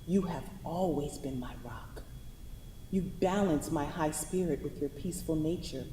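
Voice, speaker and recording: A woman's voice: low-pitched, measured pace, warm tone. A woman playing a devoted wife tenderly and earnestly reading a farewell letter in a staged reading. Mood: loving. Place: theatre hall. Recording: echoey hall with audible noise.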